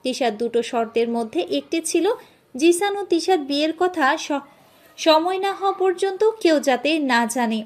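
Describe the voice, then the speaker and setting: A woman narrating a scripted romantic story as its storyteller. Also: high-pitched.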